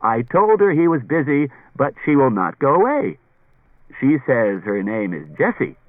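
A man's voice: medium pitch, sing-song, normal speed, dry recording, narrow, tinny audio, pitched above the performer's own voice, close to an everyday voice. A man voicing a dutiful robot office servant with a slurring delivery in an audiobook.

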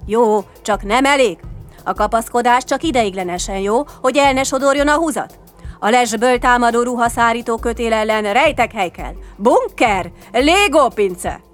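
A woman voicing an excitable, chattering little furball creature in a children's story, gabbling nervously and emphatically. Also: on the high side, faint music behind, lightly acted. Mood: explaining nervously.

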